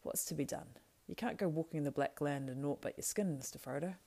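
A woman's voice: low voice, fast talker, gravelly, mellow. A woman voicing a loyal, practical male hobbit servant from a storybook, deferential and homely in manner. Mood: matter-of-fact. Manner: deferential, homely rustic phrasing.

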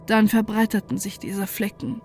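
A woman's voice: medium pitch, flat delivery, normal speed, darkish tone, slightly rough, soft background music. A woman playing a defiant, desperate scientist who narrates a horror story in an intense, dramatic way. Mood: grave narration.

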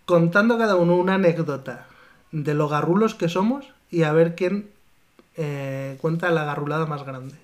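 High-voiced laughing man. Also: normal speed. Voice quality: higher voice than his co-host. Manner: laughs often, reads listener comments aloud. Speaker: podcast co-host. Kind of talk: casual chat.

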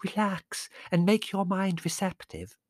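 Dramatic, high-pitched young woman. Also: steady tone, measured pace, dry recording, studio quality. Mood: hushed, insistent. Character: gushing, dramatic, credulous young daughter.

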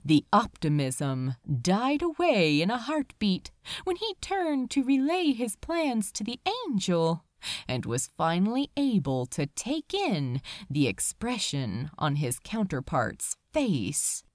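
A woman reading a story aloud as a dramatic, expressive narrator, drawing out words for emphasis. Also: medium pitch, slow, no room sound, clean studio sound. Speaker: fan fiction reader.

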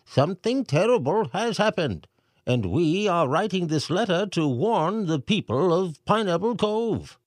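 Brief: man playing a flustered storybook mayor, pitched well up, urgent and loud, lively swings. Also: a little slow, dry recording, studio quality, full character voice. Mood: alarmed. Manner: urgent, raised reading voice. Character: flustered male town mayor reading a letter.

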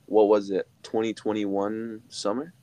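A man's voice: lowish voice, little pitch movement, a little slow, dark tone, no echo. A young man co-hosting a podcast, chatting in a laid-back, dry way with casual slang. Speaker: podcast co-host. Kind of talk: casual chat.

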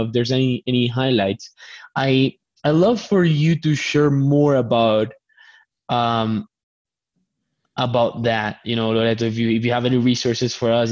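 A man with a Colombian accent hosts a loose online chat, his voice at an ordinary middle pitch. He talks chattily, trips over his words and restarts them, and pokes fun at himself. The video call audio sounds dull and lo-fi. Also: darkish tone. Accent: Colombian Spanish. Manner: chatty, stammering restarts, self-deprecating. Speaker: host.

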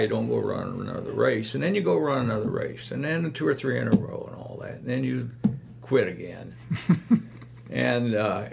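An older man tells his stories slowly, drifting through hesitant fillers. His voice is heavily gravelly and full of fry. A faint hum sits under the recording. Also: mid-range voice. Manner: slow, rambling storytelling with hesitant fillers. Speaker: guest, longtime club runner. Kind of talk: casual reminiscing chat.

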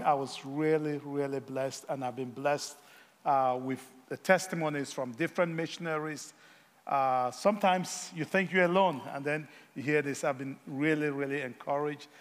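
A man, a guest missionary speaker giving a sermon, pausing often with fillers and self-deprecating humor. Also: medium pitch, in a large hall. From Africa. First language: Yoruba.

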